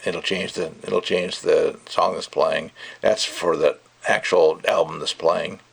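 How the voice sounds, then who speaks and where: A man's voice: deep voice, fairly even intonation, a little slow, vocal fry, slightly rough. A man giving a casual, rambling and opinionated product review, talking off the cuff.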